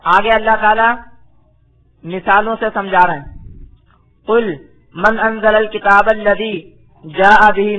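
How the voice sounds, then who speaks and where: High-pitched man teaching scripture, bright voice. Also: highs cut off. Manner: didactic, repeats phrases, alternates recitation with explanation. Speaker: Islamic scholar, Quran teacher. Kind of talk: religious lecture, verse-by-verse translation and explanation.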